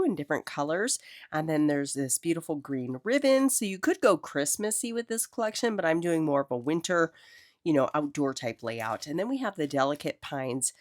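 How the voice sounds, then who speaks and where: Low-voiced chatty woman with a cold. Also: dry recording, clean studio sound. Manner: chatty, enthusiastic. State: has a cold. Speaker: crafting video host. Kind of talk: casual craft tutorial.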